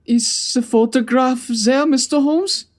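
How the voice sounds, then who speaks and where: A man's voice: extremely high pitch, unhurried. A man playing a gracious, stately king with a formal manner in a comic radio drama. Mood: eager. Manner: courtly, formal. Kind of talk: old-time radio drama acting.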